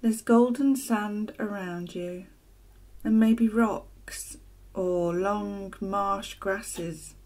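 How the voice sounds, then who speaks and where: A woman narrating a guided meditation as a teacher, soft and soothing, with gentle, deliberate phrasing and long pauses. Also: mid-range voice. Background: ocean waves sound loop.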